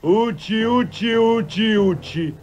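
A man's voice: sits high, slow, darkish tone, pitched above the performer's own voice. A man voicing a huge, greedy man-eating giant in a cartoon fairy tale, imperious and chanting. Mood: hungry, suspicious. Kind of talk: cartoon storybook character voice. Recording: dull lo-fi TV cartoon audio, faint music.

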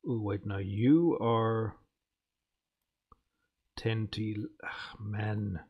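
A man's voice: fairly low pitch, extremely slow, breathy edge, dull audio. A man giving casual let's play commentary as a gaming host, muttering and thinking aloud.

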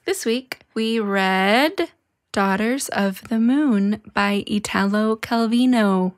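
A woman's voice: mid-range voice, slow pace, soft background music. A woman co-hosting a podcast, joking and self-mocking in casual chat. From Pacific Northwest. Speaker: podcast co-host.

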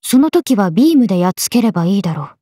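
A woman's voice: mid-range voice, very animated, quick pace, warm tone, breathy edge, no echo, clean studio sound. A woman voicing a young swordswoman character in a video game. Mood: calm, blunt. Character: swordswomen: a lively young one and her stoic alter self.

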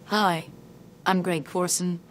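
A man voice-changed into a calm digital woman. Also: high voice, slow, a little hiss or hum, voice pushed up. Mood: matter-of-fact. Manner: plain, matter-of-fact demo talk.

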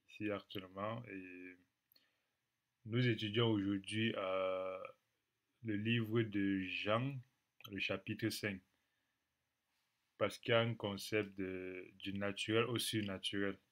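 A man in his thirties with a cold gives a Bible teaching to a webcam from a small room at home. He speaks unhurriedly and a little low, pausing often and repeating phrases for emphasis. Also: steady tone, fairly bright tone. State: has a cold, clears throat. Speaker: preacher.

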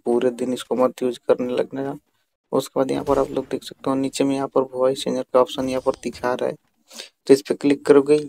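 A man's voice: mid-range voice, gravelly, darkish tone, poor audio. A man giving a casual, instructional how-to voice-over, addressing viewers directly and repeating himself. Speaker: tutorial video host. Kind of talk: casual how-to narration over screen recording.

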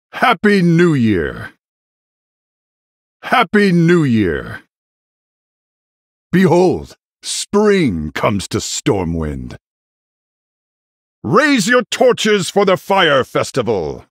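A battle-hardened warrior king from a fantasy video game, noble and proud. His husky voice swings very widely in pitch as he speaks with formal, commanding grandeur. The delivery is extremely slow and weighty. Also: no echo, studio quality, exaggerated voice. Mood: rousing. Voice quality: gravelly, husky.

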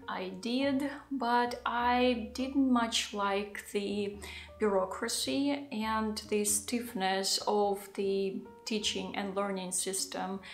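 A woman in her thirties, not a native English speaker, talking to the camera at home in a thoughtful, unhurried vlog with soft laughs. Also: medium pitch, creaky. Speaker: vlogger. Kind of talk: casual talking-head vlog. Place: home living room, indoors. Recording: echoey room.

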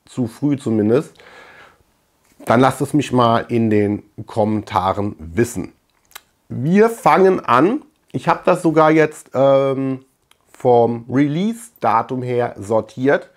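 A man, hosting a review channel and talking casually and conversationally to the camera from a room indoors, sometimes searching for words. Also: mid-range voice. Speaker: host of a film review channel. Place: small room full of shelves and collectibles, indoors.

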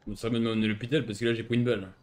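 Un homme qui streame un jeu de rôle en ligne, au parler familier, sarcastique et provocateur. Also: male voice, medium pitch, little pitch movement, very fast, a little mellow. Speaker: game streamer playing an arrested civilian. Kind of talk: casual improvised game roleplay.

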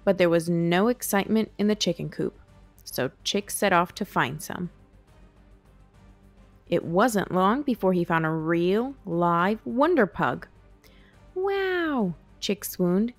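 A woman's voice: fairly low pitch, a little slow, faint music behind. A woman hosting a children's read-aloud, narrating a picture book. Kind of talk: scripted storybook narration.

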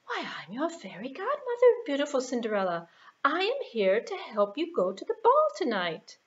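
Woman as a high-voiced kindly fairy godmother. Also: huge pitch swings, normal speed, dull audio. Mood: kindly, reassuring. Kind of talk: puppet show for children.

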